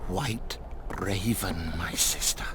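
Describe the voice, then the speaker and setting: An actor playing a simple, nervous monk who loves birds in a video game, excitable and halting, with wheezy giggles. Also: male voice, low voice, a little hiss or hum. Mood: dreamy, delighted.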